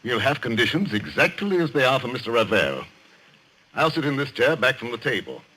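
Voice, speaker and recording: An actor playing a confident, mocking gentleman sceptic who gives brisk commands in a husky voice, in 1940s radio style. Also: mid-range voice, big pitch swings, heavily acted, rough recording. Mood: jaunty, confident. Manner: commanding, clipped, theatrical.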